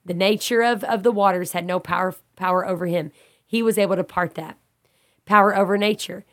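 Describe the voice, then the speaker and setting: A woman leads a Bible study with a stuffy, slightly raspy voice from a cold. She talks earnestly and steadily, repeating and restating her points as she builds them. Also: medium pitch, fairly even intonation, brisk, fairly bright tone. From Texas. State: congested, a little raspy.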